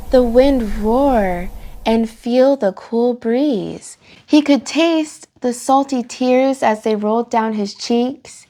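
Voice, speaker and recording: A woman reading a picture book aloud for children, with animated, dramatic delivery and drawn-out emphasis on key words. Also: fairly high pitch, slight background noise. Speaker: children's storytime reader and host. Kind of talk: expressive picture-book read-aloud for kids.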